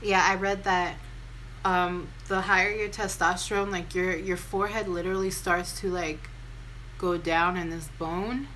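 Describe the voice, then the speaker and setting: A young American woman speaks in a deep voice with a bright, forward edge. She chats informally and candidly, often poking at herself, like a vlogger talking to followers. There is faint noise behind her in the home-video recording. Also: fairly even intonation, unhurried, low-quality recording. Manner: candid, self-deprecating, informal. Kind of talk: casual chatty get-ready-with-me storytime.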